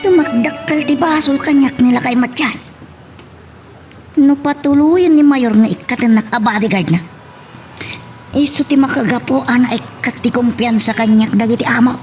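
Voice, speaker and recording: A woman's voice: high-pitched, darkish tone, natural voice. A woman playing a resentful, scheming bodyguard in a radio-style drama. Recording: faint background noise.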